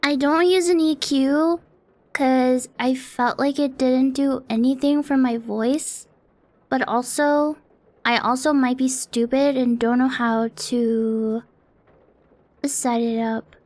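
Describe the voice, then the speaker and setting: A woman's voice: high voice, steady tone, takes it slowly, darkish tone. A young woman streaming from her apartment, chatting casually in a rambling, self-deprecating way and swearing often, close to a desk mic. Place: home apartment streaming setup. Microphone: close desk mic on an arm.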